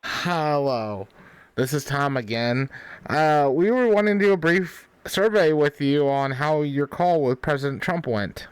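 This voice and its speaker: A man's voice: fairly high pitch, expressive, unhurried, clear, resonant tone, dry recording, rough recording. A man who hosts a prank call show, talking in a casual style. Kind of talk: casual talk.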